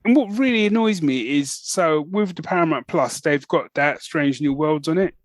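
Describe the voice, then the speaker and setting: A man with a slightly high voice chats as a podcast host. He tells things in an animated, informal way, ranting casually. The sound is close and dry. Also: moderate pace, no room sound.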